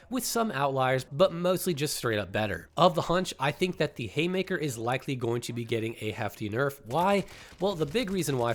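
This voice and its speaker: A man hosting a gaming channel, talking casually through his opinions on game balance with a congested, slightly nasal voice. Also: medium pitch. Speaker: gaming YouTuber.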